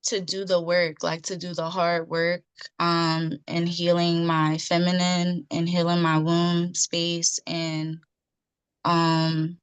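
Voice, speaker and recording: A woman in her thirties speaks slowly and evenly, with little rise or fall and a very clear, dry sound. She stops often on soft ums as she introduces herself on a video call. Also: lowish voice, no room sound. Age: 30s. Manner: hesitant, many ums, soft-spoken. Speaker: participant.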